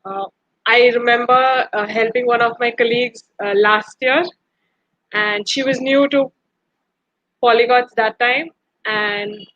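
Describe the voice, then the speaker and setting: A woman's voice: fairly high pitch, fairly bright tone, dry recording, dull audio. A woman from India, a Gujarati speaker, answering earnestly on a panel with hesitant fillers. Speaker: panelist and translator.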